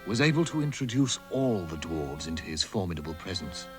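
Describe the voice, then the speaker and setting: A man narrating a storybook radio drama in a measured, theatrical manner. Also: sits low, sing-song. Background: music.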